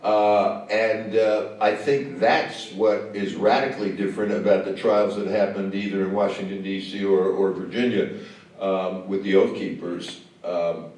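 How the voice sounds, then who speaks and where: Man, low voice, halting speech full of fillers, heavy hall echo, mic at a distance. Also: a little mellow. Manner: halting, many fillers and restarts. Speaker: film director in post-screening Q&A. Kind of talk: on-stage conversation. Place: stage in a screening venue. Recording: echoey hall, mic far off.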